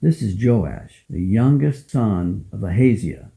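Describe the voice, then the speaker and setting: A man playing a resolute, devout old priest with a measured, authoritative manner in an audio Bible drama. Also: on the low side, lively intonation, vocal fry, warm tone, a little hiss or hum, heavily acted. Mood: solemn.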